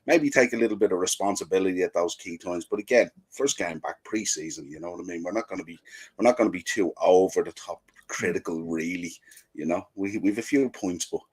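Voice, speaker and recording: A man with a sore throat, a guest on a casual football chat over a video call, relaxed and rambling. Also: low voice, a little fast, slightly rough. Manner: relaxed, rambling, says "and stuff like that". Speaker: panel guest.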